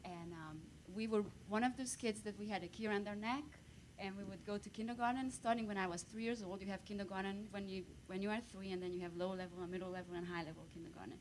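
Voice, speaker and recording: A woman from Romania whose first language is Hungarian, speaking English with a Hungarian accent as a guest speaker telling her life story in a lively way. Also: medium pitch, quick pace, hardly any pauses, a little hiss or hum, rough recording. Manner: lively storytelling, bursts of emphasis. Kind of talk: personal testimony on stage.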